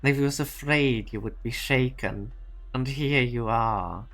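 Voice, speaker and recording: A man voicing a calm, manipulative corporate boss in an amateur fan dub, speaking in a measured, coaxing way. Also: mid-range voice, soft background music.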